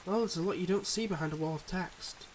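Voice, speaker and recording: A suave, secretive mob boss played by a man talks to his partner in an intimate ASMR roleplay. His voice sits a little high, with a smooth, confiding, teasing manner. Light rain noise runs behind him. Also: fast talker, lightly acted, poor audio. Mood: charming, relaxed. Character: suave, secretive young male mob boss, devoted online boyfriend.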